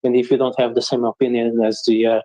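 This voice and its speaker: Mellow-voiced, emphatic man from Portugal. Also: medium pitch, little pitch movement, fast talker, poor audio. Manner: emphatic, assertive. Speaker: panel guest. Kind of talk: opinionated panel discussion.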